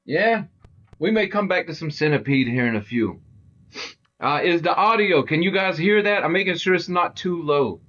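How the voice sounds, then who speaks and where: Man, middle-aged, loud voice, chatty, a bit high, game streamer. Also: lively intonation, moderate pace, muffled recording. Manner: loud, chatty, talks to viewers. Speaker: livestream host. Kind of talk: casual gaming livestream chat.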